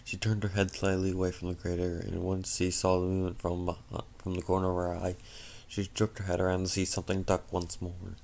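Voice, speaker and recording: A tired man narrating a fan fiction story as an amateur reader, halting and stumbling over words. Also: deep voice, monotone, creaky, darkish tone. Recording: faint background noise.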